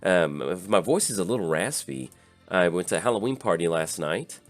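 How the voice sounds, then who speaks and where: A tired man with a slightly raspy voice, hosting and casually commenting on his own card game as he plays, thinking aloud with lots of pauses. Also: low-pitched, animated, faint music behind. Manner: easygoing, thinks aloud with many filler pauses. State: tired from little sleep. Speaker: channel host.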